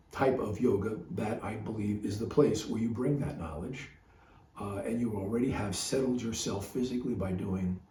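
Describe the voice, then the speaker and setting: A man hosting a podcast, giving a reflective spiritual talk in a halting, rambling way. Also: fairly low pitch, mellow, slight background noise. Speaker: podcast host. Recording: roomy, distant mic, faint music behind.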